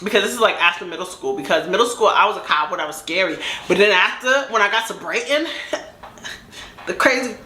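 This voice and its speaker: A young woman with a fairly deep voice telling a casual story in a lively, laughing way. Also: fairly quick, a little hiss or hum. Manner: animated, laughing, acts out quoted voices. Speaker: storytime vlogger. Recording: echoey room.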